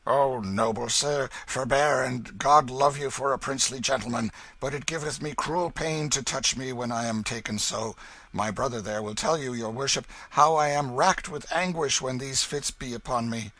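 A man's voice: mid-range voice, normal speed, bright, forceful tone, dry recording, voice pushed up, poor audio. A man voicing a wily, bullying beggar-thief in a storybook, blustering and cajoling in archaic rogue's speech. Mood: wailing, pleading.

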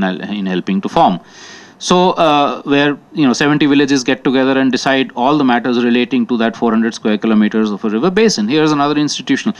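A man from India, speaking as a panelist and making his points thoughtfully with many fillers and restarts. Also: medium pitch. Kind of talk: panel discussion remarks. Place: conference hall.

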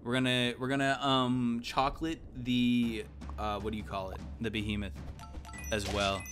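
A man, giving casual, slangy, animated live game commentary. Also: medium pitch, moderate pace, soft background music. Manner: animated, exclaiming, thinking aloud. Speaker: game streamer. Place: indoor room at home.

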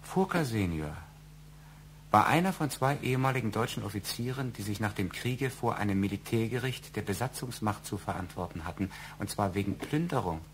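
An actor in a radio crime drama playing a needling, evasive middle-aged husband, formal and deliberate in his insinuations. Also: fairly low pitch, lively intonation, a little hiss or hum, close to an everyday voice. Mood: insinuating.

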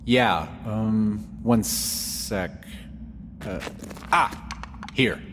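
A man's voice: lowish voice, drawn-out words, noisy background. An actor playing a mischievous, confident male adventurer in a fantasy audio drama, teasing and casual. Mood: casual confidence. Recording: long hall reverb over music.

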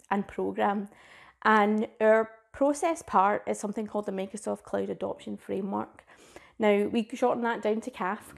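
A woman's voice: medium pitch, creaky, a little mellow. Scottish woman in her thirties for an upbeat, informal tech session, recorded on a desk webcam. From Scotland. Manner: enthusiastic, chatty and informal. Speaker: cloud advocate presenting a conference session. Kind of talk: presented tech talk. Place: small indoor room with a plain wall.

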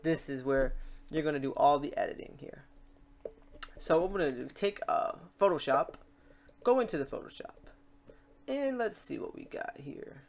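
A man's voice: a little high, expressive, moderate pace, long pauses. Casual man talking through a tutorial. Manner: informal, chatty, talks through steps aloud. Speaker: tutorial host. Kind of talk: casual software tutorial narration.

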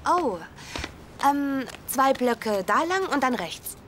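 A woman's voice: high voice, animated, slow, background noise. A dry, teasing young woman. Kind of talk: German sitcom dub.

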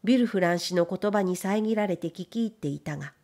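A woman's voice: mid-range voice, brisk, darkish tone. A woman reading literary narration as an audiobook reader.

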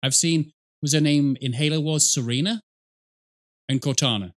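A man's voice: on the high side, normal speed. A man in his thirties giving casual reaction commentary in a sweary, self-mocking way. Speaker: reaction video host, gamer.

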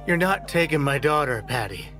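A man's voice: a little high, big pitch swings, moderate pace, fairly bright tone, faint music behind. An actor playing a middle-aged small-town lawman father, distraught and pleading, stammering and repeating himself in an audio drama. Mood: firm, indignant.

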